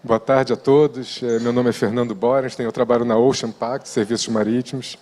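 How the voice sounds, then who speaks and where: A man presenting his company on a conference panel in a measured, explanatory way. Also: medium pitch, flat delivery, a little mellow. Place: conference hall. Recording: long hall reverb.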